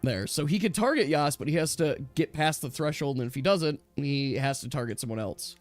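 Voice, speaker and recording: A man with a fairly high voice acts as game master for a tabletop game. He explains rules in a chatty, off-the-cuff way, pausing and restarting as he goes. Faint music plays behind him. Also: expressive, dry recording.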